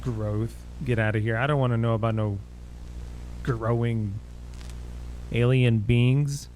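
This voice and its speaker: Man, reaction video host, mid-pitched voice, casual muttered commentary, quick exclamations, faint background ambience. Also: fairly even intonation, darkish tone, a little hiss or hum, poor audio.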